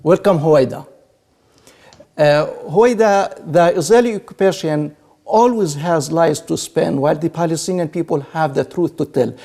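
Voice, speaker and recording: A man's voice: on the high side, normal speed, mellow. A man hosting a broadcast programme, speaking in a formal interviewing style.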